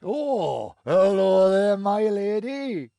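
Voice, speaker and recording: Creaky, high-pitched tipsy inventor. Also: male voice, big pitch swings, very slow, mellow, no room sound, full character voice. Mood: tipsy good cheer. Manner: theatrical, rambling, self-amused. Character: tipsy, jolly, eccentric inventor and drinking buddy. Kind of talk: fantasy game acting.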